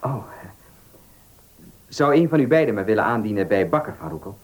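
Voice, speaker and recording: An actor playing a polite, formal, slightly awkward gentleman in a Dutch radio play, courteous and hesitant. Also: on the low side, very animated, brisk.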